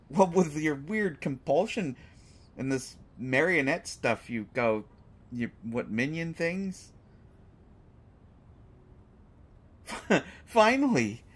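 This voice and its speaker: A man playing a flirtatious, teasing superhero who is secretly smitten, in a halting, playful conversational way for a roleplay audio drama. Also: a little high, very animated, breathy edge, heavily acted. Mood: mock exasperation, amused. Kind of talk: roleplay audio drama, acted monologue to listener.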